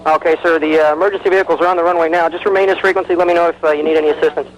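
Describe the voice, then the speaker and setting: A man working as a tower controller, brisk and procedural over aviation radio. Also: on the high side, steady tone. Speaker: air traffic tower controller. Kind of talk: radio air traffic control. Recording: noisy air traffic radio recording.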